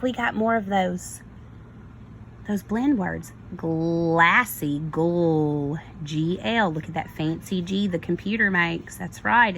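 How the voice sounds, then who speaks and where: Slow, creaky-voiced woman teacher reading aloud. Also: mid-range voice, a little hiss or hum, rough recording. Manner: expressive, instructive, prompting listeners with questions. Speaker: kindergarten teacher. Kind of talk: read-aloud lesson for young children.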